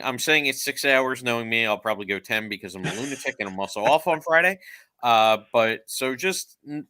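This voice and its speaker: Male streamer, middle pitch, wry and self-mocking casual plug. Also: pushed and bright, clean tone. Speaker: panelist and streamer. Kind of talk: casual promotional chat.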